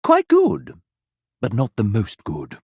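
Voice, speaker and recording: Sassy, wildly swooping game show host. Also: male voice, mid-range voice, huge pitch swings, slow pace, gravelly, a little mellow, breathy edge, no echo, clean studio sound, full character voice. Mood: arch, mock-regretful. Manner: grandiloquent, arch, melodramatic. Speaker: voice actor playing a game show host character. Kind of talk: theatrical video game character acting as a game show host.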